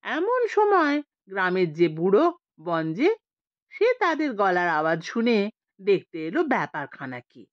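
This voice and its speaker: A woman narrating a scripted story as an audiobook reader, in an expressive storytelling style. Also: medium pitch, a little slow, dry recording, dull audio.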